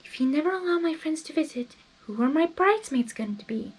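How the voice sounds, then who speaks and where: Woman voicing a high, soft pleading pony girl. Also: lively intonation, fairly quick, low-quality recording. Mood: resolute. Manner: earnest, pleading. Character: soft, high-voiced, pleading young female pony, gentle but determined.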